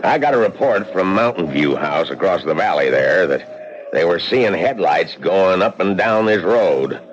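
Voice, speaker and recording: An actor playing a stern small-town sheriff giving warnings in an old radio drama. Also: male voice, low voice, expressive, normal speed, slight background noise. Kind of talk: 1950s radio acting. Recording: dull old radio recording.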